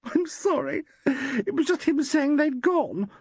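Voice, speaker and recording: A man's voice: extremely high pitch, expressive, takes it slowly, no room sound, pitched above the performer's own voice, heavily acted. A man voicing a hysterical young actress who wails and sobs. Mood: tearful. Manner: sobbing, wailing.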